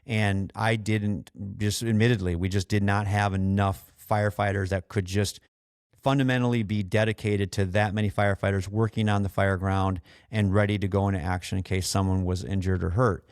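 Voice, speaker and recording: A man hosting a podcast as an experienced firefighter, explaining things earnestly in a conversational, halting way with repeated and restarted phrases. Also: lowish voice, flat delivery, clear, resonant tone, no room sound, studio quality.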